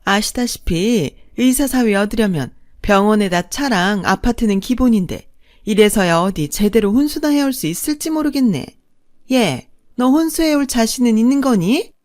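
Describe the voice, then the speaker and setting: A woman's voice: animated, moderate pace, a little mellow, no room sound, studio quality, close to an everyday voice. A woman voicing a haughty, spiteful middle-aged woman who mocks and coos in a dramatised family story. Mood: sneering, condescending. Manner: mocking, cooing at her man, shrill in outrage.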